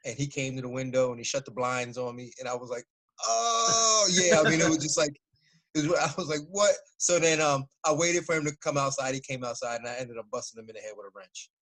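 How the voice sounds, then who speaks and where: A man in his forties, a former fighter, telling stories in a relaxed, casual interview and laughing often. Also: mid-range voice, fairly quick, rough recording. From United States.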